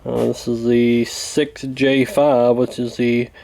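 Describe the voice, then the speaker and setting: A man giving a casual hands-on repair walkthrough in a folksy, hesitant, unhurried way. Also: medium pitch, flat delivery, gravelly, darkish tone, a little hiss or hum. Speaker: radio repair hobbyist demonstrating.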